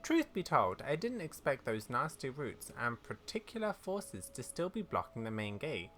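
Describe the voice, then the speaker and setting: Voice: mid-range voice, sing-song, normal speed, a bit bright. A gamer voicing a bold, quirky, self-important female talking horse from a video game, in a proud, theatrical manner. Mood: grave, theatrical. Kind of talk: read-aloud video game dialogue. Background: game music.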